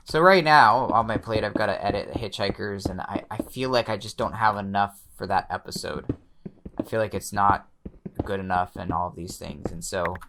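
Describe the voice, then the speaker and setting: A young man with a slightly hoarse voice, quietly talking through his gameplay, often pausing and restarting his sentences. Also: on the low side, background noise. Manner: thoughtful, pauses and restarts sentences; soft-spoken, many fillers. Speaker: let's play host. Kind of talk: relaxed gameplay commentary.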